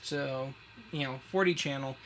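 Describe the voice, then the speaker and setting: A man's voice: medium pitch, measured pace, fairly bright tone. An American man casually explaining as a show host, with a wry, self-deprecating, slangy way of talking. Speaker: host of a retro media web show. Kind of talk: casual explainer, chatting through a gadget demo. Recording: clear background noise.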